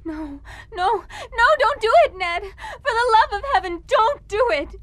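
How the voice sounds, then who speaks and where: Distraught young noblewoman in radio suspense, piercingly high and wildly varied. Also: animated, a little slow, a bit bright, no echo, heavily acted. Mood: pleading, crying out. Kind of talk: radio suspense drama acting.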